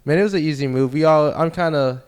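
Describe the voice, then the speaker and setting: A young man from the United States, a baseball player guest, talking casually in an interview with a relaxed, rambling way of telling stories. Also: a little high, fast, clean tone. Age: young adult. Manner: relaxed, rambling storytelling with fillers.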